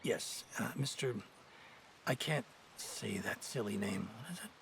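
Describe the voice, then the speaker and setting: An actor playing a pompous, blustering army colonel in a video game, halting and condescending. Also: male voice, on the low side, lively intonation, creaky, a bit bright, a little hiss or hum, heavily acted. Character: pompous, blustering army colonel, short-tempered older officer. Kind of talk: dramatic video game acting.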